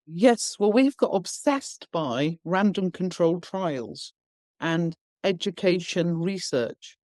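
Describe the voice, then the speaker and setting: A woman's voice: on the low side, animated, slow, darkish tone, no echo, studio quality. A woman guest on a podcast interview, explaining her views bluntly and emphatically with everyday examples. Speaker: education founder, guest.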